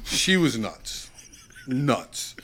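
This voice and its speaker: A man's voice: mid-range voice, very slow. A man chatting casually as a podcast guest, telling rambling anecdotes and acting out the dialogue as he goes. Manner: rambling, animated retelling with quoted dialogue.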